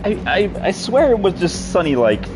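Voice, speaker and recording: A man from Germany co-commentating a sim race on a headset, relaxed and sometimes searching for the right words. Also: sits high, moderate pace, rough recording. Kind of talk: live esports race commentary. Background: music and racing car sounds.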